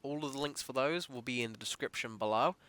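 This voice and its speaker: Male podcast host, conversational interviewer. Bright, crisp voice, close dry sound. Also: mid-range voice, fast talker, no room sound.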